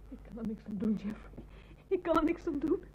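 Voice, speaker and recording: An actress playing a distressed, loyal wife pleading in a Dutch radio play. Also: female voice, high-pitched, animated, brisk, slight background noise. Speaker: radio drama actor. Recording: dull, band-limited radio play recording.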